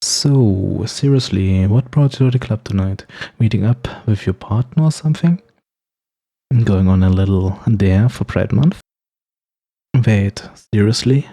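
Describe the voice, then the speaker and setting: Guy playing a snarky supervillain for asmr roleplay, raspy n mellow male voice, flirty teasing banter, kinda sarcastic. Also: on the low side, a little slow. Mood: warm, curious. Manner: sarcastic, playful, bantering, with chuckles. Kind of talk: ASMR roleplay monologue to the listener.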